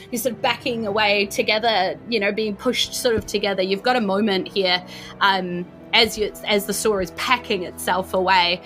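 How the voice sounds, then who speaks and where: A woman with a New Zealand accent narrating a tabletop game as game master, in an informal, halting, descriptive way. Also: medium pitch, sharp tone.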